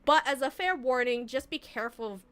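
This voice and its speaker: A woman's voice: high voice, a little fast, a bit bright, clear, resonant tone. A young adult woman streamer, Vietnamese by first language, giving earnest, rambling advice to her chat with laughs and restarts.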